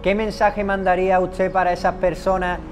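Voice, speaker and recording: A man's voice: high-pitched, flat delivery, normal speed. Young male interviewer over music. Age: young adult. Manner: hesitant restarts, leading questions. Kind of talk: promotional interview. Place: shaded outdoor patio. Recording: music bed underneath. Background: background music.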